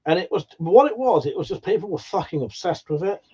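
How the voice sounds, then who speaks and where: A man's voice: high-pitched, expressive, fast talker, darkish tone, breathy edge, highs cut off. A man from England, hosting a casual livestream, reading out chat comments in a sweary, jokey way with frequent laughs. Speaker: livestream host.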